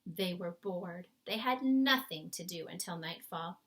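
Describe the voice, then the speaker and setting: A woman's voice: on the low side, lively intonation, unhurried, fairly bright tone. A woman reading a children's story aloud as scripted narration. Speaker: storybook reader.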